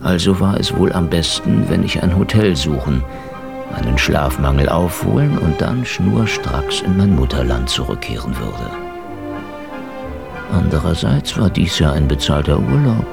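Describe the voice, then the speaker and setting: A man's voice: a bass voice, big pitch swings, unhurried, creaky, full character voice. A man playing a world-weary veteran Russian detective, narrating his case in a dry, wry radio drama style. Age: middle-aged or older. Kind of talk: hard-boiled first-person radio drama narration. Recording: music bed under the voice.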